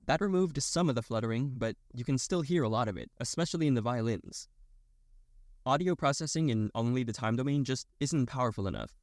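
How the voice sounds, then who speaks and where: A man's voice: mid-range voice, lively intonation, brisk, darkish tone, no echo. A man delivering a scripted technical explainer voice-over, addressing the listener directly as he explains. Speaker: narrator of an educational explainer video.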